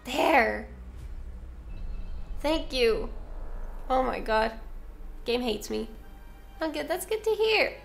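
A woman's voice: high-pitched, sing-song, slow, creaky, long pauses, faint music behind. A young woman streamer, a little sick and congested, chatting casually and reading out her chat. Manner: bubbly, chatty, reads chat aloud.

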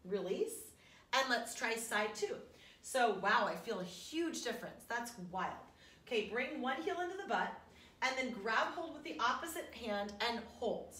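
A woman's voice: a little high, lively intonation, measured pace, bright, forceful tone. A chatty, encouraging woman in her thirties leading a casual stretching workout as a fitness instructor, recorded at home in a living room. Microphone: camera a few meters away. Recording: roomy, distant mic, faint room noise.

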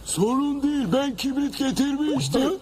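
Simple-minded convict, cartoon, extremely high voice, whiny, childlike, excitable, loud rumble behind. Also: male voice, normal speed. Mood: naive, eager. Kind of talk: animated cartoon dub acting.

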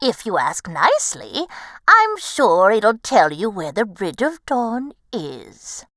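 A woman's voice: medium pitch, very animated, slow pace, a bit bright, no room sound, exaggerated voice. Storybook crow, female fortune-teller played by a woman: grand, swooping delivery, close dry sound. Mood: gleeful, urging. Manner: theatrical, knowing, coaxing.

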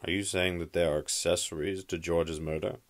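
A man playing a gruff, skeptical police detective who challenges others in an audio drama. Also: low voice, fairly even intonation, fairly quick.

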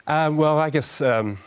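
Thirties male guest, soft-voiced, gentle, measured; studio lapel sound. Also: fairly high pitch, clean tone. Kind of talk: studio discussion. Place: large television studio.

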